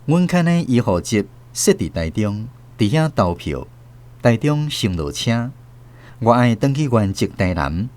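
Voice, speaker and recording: A man's voice: medium pitch, very animated, measured pace, darkish tone. A man with a Taiwanese accent narrating a radio drama in the first person, as its host and storyteller.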